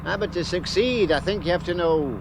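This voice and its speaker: A gentle older northern dad, plainspoken, slightly high voice, noisy background. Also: male voice, fairly quick. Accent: Northern English. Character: gruff, caring older northern father. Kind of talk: 1970s radio drama.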